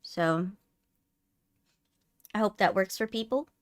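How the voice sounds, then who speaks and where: A woman's voice: medium pitch, slow. A woman chatting casually as she hosts a livestream. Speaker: streamer hosting a live game readthrough.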